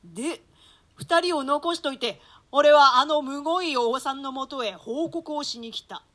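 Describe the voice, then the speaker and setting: A high-pitched woman acting as a hired killer in a stage reading of Shakespeare. The talk is coarse and colloquial, shaken by pity and horror, told like comic traditional storytelling with theatrical quoted voices. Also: moderate pace, fairly bright tone. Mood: anguished storytelling. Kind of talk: Shakespeare monologue in comic rakugo-like storytelling style.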